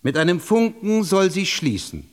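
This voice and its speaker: State secretary, long hall reverb, grandiose oratory, raised pitch, sweeping intonation, radio drama. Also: male voice, very animated, moderate pace. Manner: rhetorical, grandiose. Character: solemn male state secretary giving a grand speech. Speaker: actor.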